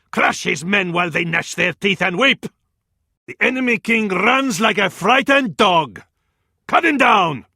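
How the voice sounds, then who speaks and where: An actor with an Arabic accent playing a fervent, scornful medieval advisor to a sultan in a video game, in a florid, declamatory style. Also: male voice, high-pitched, bright, forceful tone, no room sound, clean studio sound, heavily acted. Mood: fierce, contemptuous. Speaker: battle advisor in a strategy game. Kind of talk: theatrical video game voice acting.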